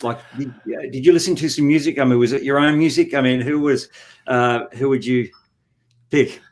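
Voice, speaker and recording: A man hosts an interview show, putting his questions together haltingly. He stops and corrects himself a lot, and his voice sits at a medium pitch. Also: fairly even intonation. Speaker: radio show host. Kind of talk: interview hosting.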